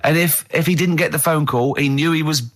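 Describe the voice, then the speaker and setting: A man hosting a radio show with casual comic banter, animated and quick to act out dialogue. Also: on the high side. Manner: animated, breaks into laughter, acts out dialogue. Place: radio studio.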